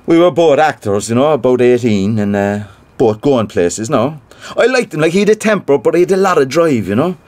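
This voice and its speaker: A man's voice: medium pitch, sing-song, fairly quick, darkish tone, natural voice. An actor playing a gossipy, self-important small-time theatre man with an Irish Cork accent, chatty and boastful in a naturalistic audio drama.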